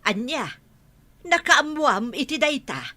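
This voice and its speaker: A woman's voice: sits high, animated, slow pace, pushed and bright, exaggerated voice. A woman playing an indignant older village mother in a radio drama, nagging bluntly with an Ilocano accent. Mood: grumbling.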